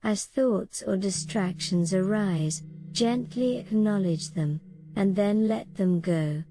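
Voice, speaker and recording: A woman reading a slow guided meditation in a gentle, soothing, measured way. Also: mid-range voice. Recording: voice over clearly audible music. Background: gentle relaxation music.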